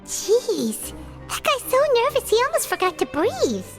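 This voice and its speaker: Game sidekick: tiny cheeky creature, extremely high squeaky child voice, animated, loud music behind. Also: female voice, a little slow, exaggerated voice. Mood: cheeky, surprised. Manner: speaks of self in third person, blunt. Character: tiny childlike floating companion, cheeky and excitable. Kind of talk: cartoonish video game voice acting.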